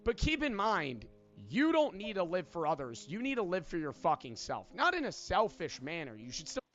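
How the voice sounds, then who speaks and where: A man's voice: high-pitched, huge pitch swings, moderate pace, a bit bright, faint music behind. A man in his twenties giving an emphatic motivational monologue about living for yourself, swearing often. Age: 20s. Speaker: online video creator. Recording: dull lo-fi sound from a played video.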